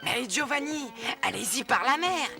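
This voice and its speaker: A woman's voice: high-pitched, lively intonation, moderate pace, creaky, sharp tone, heavily acted. A woman voicing an eager, stubborn young boy in a French cartoon, earnest and insistent. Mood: excited, eager. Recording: music behind the voice.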